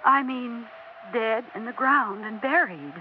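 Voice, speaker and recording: A pleading, anxious older wife. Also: female voice, a little high, takes it slowly. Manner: pleading, emotional, insistent. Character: anxious, clinging older wife awaiting her husband. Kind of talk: 1960s radio drama acting. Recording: dull old radio broadcast with faint hiss.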